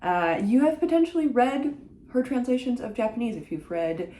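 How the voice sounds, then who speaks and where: A woman whose first language is French talks casually to camera about a book she has read, thoughtful and often pausing on fillers. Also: on the high side, sing-song, warm tone. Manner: thoughtful, hesitant, many uh fillers. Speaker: book vlogger. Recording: roomy echo.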